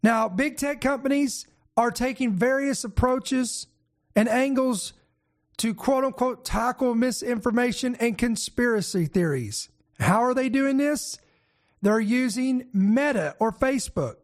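Raspy, congested high-voiced male host. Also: unhurried. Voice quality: raspy, congested from a head cold. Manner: energetic, emphatic preacher-style delivery with fillers. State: fighting a head cold. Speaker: host and pastor. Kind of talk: news commentary show.

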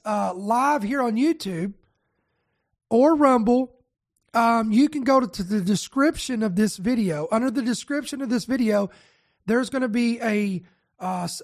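A male pastor hosting a teaching broadcast, raspy and nasal with a head cold, talking casually and straight to his viewers. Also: extremely high pitch, moderate pace. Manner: relaxed, speaks straight to viewers. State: congested from a virus.

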